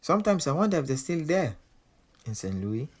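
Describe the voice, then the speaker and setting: An actor playing a proud, volatile Senegalese champion boxer, wounded underneath, confiding in an audio drama. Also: male voice, fairly high pitch, big pitch swings, brisk. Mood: bitter, reflective. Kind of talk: audio drama acting with confiding first-person narration.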